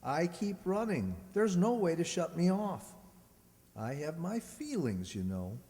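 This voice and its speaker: A man acting in a radio play as a polite, aggrieved humanoid robot double, measured and plaintive, recorded in an echoey hall. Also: on the high side, big pitch swings, warm tone. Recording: echoey hall with long reverb.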